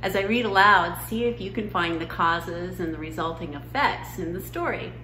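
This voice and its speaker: A woman's voice: on the low side, moderate pace, bright, forceful tone. Middle-aged woman presenting story time, roomy sound. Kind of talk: educational story time talk to children. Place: living room sofa, indoors. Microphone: camera a few feet away. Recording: roomy room sound, faint noise.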